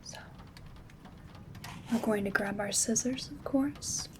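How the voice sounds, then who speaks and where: A woman playing a gentle, caring witch herbalist in an ASMR roleplay, soft-spoken and often whispering in a soothing, intimate way. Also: fairly high pitch, slow pace. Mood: calm, caring. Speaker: ASMR performer. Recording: soft rain and handling noise. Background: rain sounds, craft rustling.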